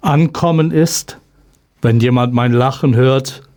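A man recites spoken word poetry at a very slow pace in a mid-range voice. His delivery is measured, with a pause after each short phrase. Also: medium pitch, darkish tone, a little hiss or hum.

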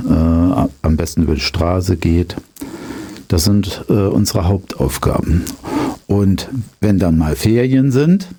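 A man in his fifties giving explanatory interview answers as a police road safety teacher, halting with frequent pauses. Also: on the low side, mellow. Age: 50s.

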